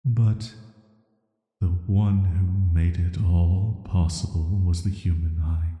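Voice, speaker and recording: A man plays an ancient banished villain, intimate and quietly dangerous, in an atmospheric sleep-aid roleplay. His voice is very low, dark and soft. He speaks in a flat, deliberate, flirty way, surrounded by echo. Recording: echoey voice with long reverb.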